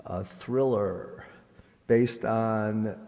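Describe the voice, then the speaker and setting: Slow-paced man in an echoey hall. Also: fairly low pitch, dark tone. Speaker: director announcing upcoming shows. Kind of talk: live announcement to an audience. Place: large indoor township auditorium, on stage. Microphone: stage microphone. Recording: echoey auditorium with long reverb.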